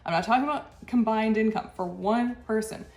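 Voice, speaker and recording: A woman's voice: on the high side, brisk, darkish tone. A woman hosting a reaction commentary, sounding stuffy from a cold, talking frankly and with exasperation, with short laughs between her points. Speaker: channel host. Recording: echoey room with faint music.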